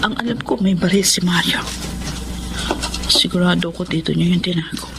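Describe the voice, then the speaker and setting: A woman's voice: on the low side, moderate pace, vocal fry, very noisy. An actress playing a remorseful, troubled mother and wife in a radio drama. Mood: tense, furtive. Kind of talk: Tagalog radio drama acting.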